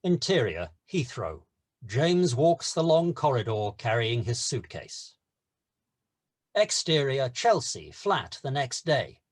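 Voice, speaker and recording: A man's voice: mid-range voice, very animated, slow. A man narrating stage directions in a scripted screenplay table read.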